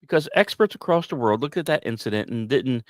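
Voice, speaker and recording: A man hosting a podcast, delivering scripted storytelling narration with dramatic emphasis and deliberate pauses. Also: medium pitch, lively intonation, no room sound, studio quality. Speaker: podcast host.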